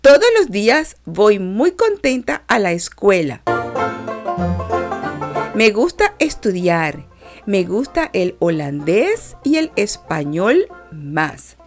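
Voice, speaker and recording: A woman reading a children's lesson as a six-year-old girl who tells her dream in a slow, expressive sing-song. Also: medium pitch, music in the background. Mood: cheerful.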